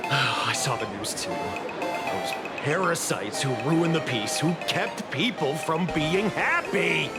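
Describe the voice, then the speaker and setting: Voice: mid-range voice, animated, slow pace. An actor playing a chatty townsperson in a video game who is blissfully, eerily content. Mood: gossipy, gleeful. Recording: loud music behind.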